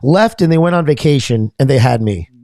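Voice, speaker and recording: A man's voice: medium pitch, animated, a little fast, warm tone, dry recording, studio quality. A man from Florida with a Florida accent, chatting casually as a podcast host and rambling with frequent repeats and restarts. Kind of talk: casual chat.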